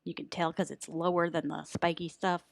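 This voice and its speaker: A woman giving a casual tutorial in a chatty, playfully self-deprecating manner, recorded close in a quiet room. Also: on the low side, flat delivery, normal speed, vocal fry, no echo. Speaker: voice actor giving an audio editing tutorial.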